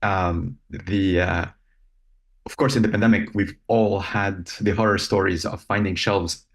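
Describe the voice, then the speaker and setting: A man, speaking as an interview guest in a measured, thoughtful way with frequent pauses. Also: deep voice, fairly even intonation, creaky. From Lebanon. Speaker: guest, robotics company founder. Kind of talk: casual interview talk.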